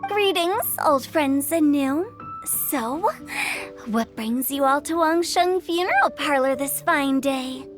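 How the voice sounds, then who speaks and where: A woman's voice: sits high, animated, takes it slowly. A woman playing a playful, theatrical young funeral parlor director with a teasing manner in a video game. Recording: faint music behind the voice.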